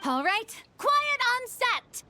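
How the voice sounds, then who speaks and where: A woman's voice: very high voice, big pitch swings, slow pace, pushed and bright, no room sound, heavily acted. An actress playing a theatrical, exacting but encouraging young woman film director in a video game. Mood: commanding, encouraging. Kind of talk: animated video game voice acting. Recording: faint music behind.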